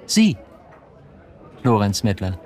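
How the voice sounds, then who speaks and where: An actor playing a manipulative, domineering police chief in a German radio drama, speaking in an insistent, measured and cutting way. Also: male voice, on the low side, sing-song, darkish tone, faint music behind. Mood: cold, insistent. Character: cold, coercive male police chief, manipulative.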